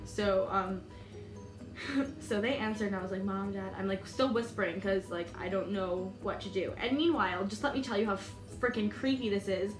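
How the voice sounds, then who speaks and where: A woman's voice: medium pitch, normal speed. Chatty young American woman, echoey room. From United States. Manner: chatty, many "like"s and "um"s, laughs nervously. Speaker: vlogger. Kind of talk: storytime vlog. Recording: echoey room with music behind.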